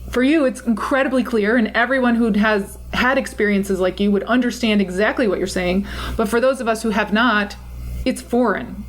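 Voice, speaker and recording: Thoughtful middle-aged woman interviewer, high voice. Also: moderate pace, a little hiss or hum, rough recording. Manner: thoughtful, laughs often. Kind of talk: reflective conversational interview.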